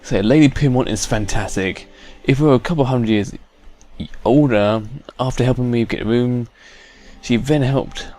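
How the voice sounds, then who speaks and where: A man's voice: mid-range voice, normal speed, dark tone. A man giving casual, chatty gameplay commentary as a let's play host. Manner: chatty, hums to self. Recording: faint game music behind.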